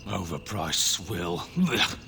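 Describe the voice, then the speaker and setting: A disgruntled tavern drinker in a fantasy game. The voice is very low and forceful, gruff and grumbling, with swinging intonation. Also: male voice, expressive, slow pace, a little hiss or hum, full character voice. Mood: disgusted, irritable. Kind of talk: video game dialogue acting.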